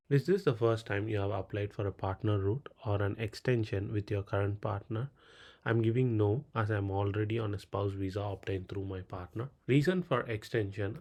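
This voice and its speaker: A man's voice: on the low side, darkish tone. A man with a Tamil Indian accent, a native Tamil speaker, narrating a step-by-step screen tutorial in a clipped way, reading out questions and answering them. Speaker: tutorial presenter. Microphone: voice-over on a screen recording.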